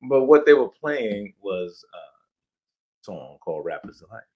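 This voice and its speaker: A man's voice: lowish voice, big pitch swings, takes it slowly, highs cut off. A middle-aged man from Jamaica, raised in New York, telling stories as a guest in a casual interview, enthusiastic and rambling. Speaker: playwright, guest.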